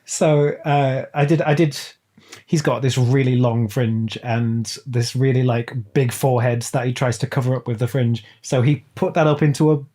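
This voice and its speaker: A man's voice: medium pitch, steady tone, moderate pace, mellow. Playful, bantering British man. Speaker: podcast guest, audio drama producer. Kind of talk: casual chat. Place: remote online call.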